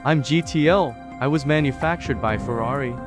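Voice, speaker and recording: Boastful talking sports car, voiced by a man, music loud behind. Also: mid-range voice, normal speed, close to an everyday voice. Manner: boastful, cocky. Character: boastful proud Italian Ferrari sports car contestant. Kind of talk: scripted game show parody.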